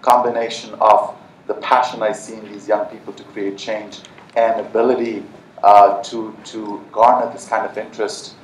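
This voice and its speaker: A man's voice: fairly low pitch, flat delivery, normal speed, slight background noise. A man from Nepal speaking earnestly on a conference panel, with many fillers and restarts. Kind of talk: panel talk. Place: large conference hall. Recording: echoey hall from far off.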